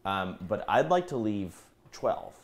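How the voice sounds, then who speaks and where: A young American man chatting casually in a halting, hesitant way in a home kitchen. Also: on the low side, very animated. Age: young adult. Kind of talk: casual chat. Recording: some background noise.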